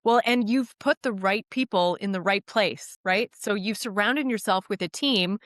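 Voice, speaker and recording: A woman's voice: mid-range voice, normal speed, a bit bright, no echo, studio quality. A woman hosting a casual podcast interview, talking in a friendly, enthusiastic way. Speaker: podcast host, landscape designer.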